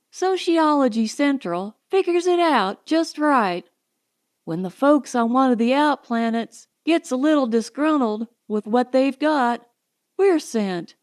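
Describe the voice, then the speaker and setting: A woman's voice: fairly high pitch, voice pushed up. A woman voicing an assured, sympathetic female companion who explains things emphatically in a storybook.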